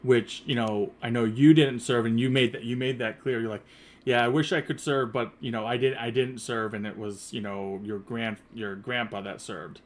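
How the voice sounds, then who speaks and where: A man's voice: mid-range voice, steady tone, moderate pace. A man hosting a podcast interview, talking casually and rambling with many fillers and restarts. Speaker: podcast host. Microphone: earpiece headset.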